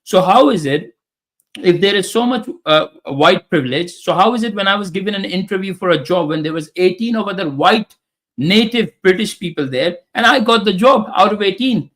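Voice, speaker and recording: A man's voice: high voice, lively intonation, normal speed, a bit bright. A man from Pakistan, whose first language is Urdu, speaking in emphatic, opinionated casual talk and often repeating phrases. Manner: emphatic, repeats phrases, acts out quoted voices.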